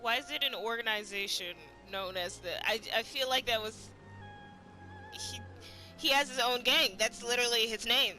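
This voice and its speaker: High, bright-voiced woman streaming a game. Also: very animated, normal speed. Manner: playful, chatty, repeats herself. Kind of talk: casual gameplay commentary. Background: game music.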